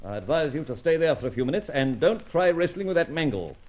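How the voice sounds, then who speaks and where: An actor playing a brisk, commanding police inspector with mocking sarcasm, in old radio detective drama style. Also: male voice, on the high side, big pitch swings, a little mellow. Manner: barking orders, sarcastic, theatrical. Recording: dull lo-fi old radio recording.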